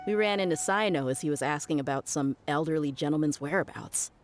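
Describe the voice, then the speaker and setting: Game casting: woman as a very low-voiced female sellsword, confident, breezy and teasing, music underneath. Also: a little fast, close to an everyday voice. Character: confident, easygoing female desert mercenary. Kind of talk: video game voice acting. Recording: music behind the voice.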